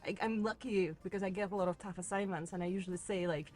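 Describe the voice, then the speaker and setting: A woman talks casually as a rideshare passenger, restarting her sentences and peppering them with agreement. Her voice sits in a middle pitch. Faint music plays underneath the car conversation. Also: fairly even intonation, fast, darkish tone. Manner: chatty, with frequent restarts and many "right"s. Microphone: car interior mic.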